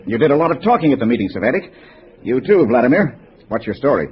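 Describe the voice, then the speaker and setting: A man's voice: mid-range voice, big pitch swings, quick pace, lightly acted. A man playing a stubborn, impatient theater director, speaking bluntly in a dull old radio drama recording. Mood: suspicious, blunt. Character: sharp-eyed, weary, stubborn little male theater director. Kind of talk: 1950s radio drama acting.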